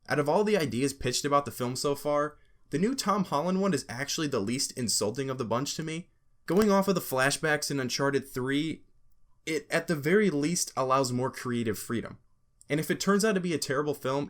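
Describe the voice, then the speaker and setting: A man hosting a video essay, giving opinionated scripted commentary in an informal, emphatic way with sarcastic asides. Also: medium pitch.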